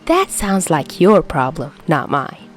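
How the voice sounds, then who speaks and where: A woman's voice: deep voice, sing-song, takes it slowly, soft background music, exaggerated voice. Woman voicing a whiny, teasing young man. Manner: whining, complaining.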